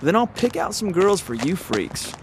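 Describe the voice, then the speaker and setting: A man's voice: sits high, very animated, normal speed, noisy background. A man voicing a cocky, scornful young athlete in a video game, teasing in casual slang. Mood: mocking. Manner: mocking, slangy.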